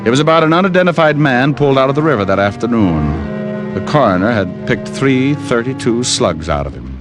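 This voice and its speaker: A man's voice: medium pitch, sing-song, brisk, over loud music, natural voice. A man playing a wisecracking private detective in a 1950s radio drama, narrating and talking with dry, sardonic delivery. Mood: wry narrating.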